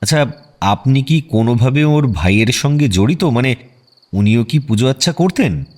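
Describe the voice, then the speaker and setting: Casting: male actor as investigator, medium pitch, self-assured, direct, probing questions, radio drama. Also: animated, moderate pace, darkish tone, lightly acted. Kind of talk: radio audio drama acting.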